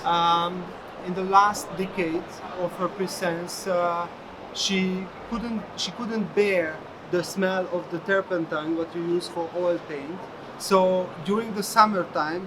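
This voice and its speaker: A gallerist explaining an artist's work informally, in a storytelling way. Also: mid-range voice. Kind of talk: informal spoken explanation at an art fair. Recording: noisy fair hall background. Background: ambient fair hall noise.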